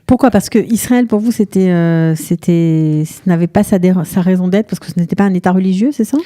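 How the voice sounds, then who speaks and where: A woman hosting a radio interview, brisk and probing, who pushes back on her guest's answers. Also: fairly low pitch, warm tone. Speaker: radio host. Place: radio studio. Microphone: studio microphone.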